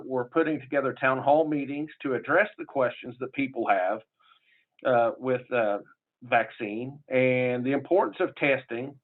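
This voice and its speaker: A man with a southern Illinois accent giving a conference presentation in a folksy, rambling way, with many fillers and restarts. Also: mid-range voice. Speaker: public health association presenter. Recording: tinny phone-like audio.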